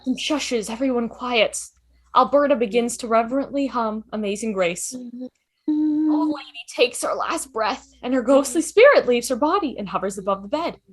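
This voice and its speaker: Animated, higher-voiced woman reading stage directions. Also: normal speed, breathy edge. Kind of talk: scripted narration for amateur table read.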